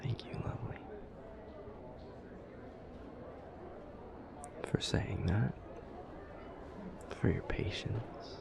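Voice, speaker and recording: A man's voice: low voice, creaky, exaggerated voice. A man playing an anxious, self-deprecating vampire boyfriend in an intimate roleplay audio, stammering and rambling, often dropping to a murmur. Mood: tender, playful. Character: nervous, self-deprecating immortal male vampire boyfriend, affectionate.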